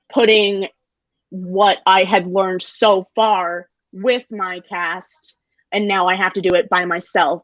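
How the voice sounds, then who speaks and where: A woman's voice: fairly low pitch, a bit bright, dry recording. A woman in her twenties, a student actor, chatting casually and hesitantly as she thinks aloud. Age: 20s. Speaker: actor, graduating theater student. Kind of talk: casual chat. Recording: dry, slightly dull online audio.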